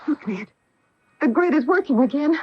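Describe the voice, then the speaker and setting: An actress playing an anxious, insistent astronaut wife in a 1980 radio drama, with earnest, fearful delivery. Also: female voice, high voice, lively intonation, normal speed, noisy background. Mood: alarmed, urgent. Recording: muffled old AM radio broadcast.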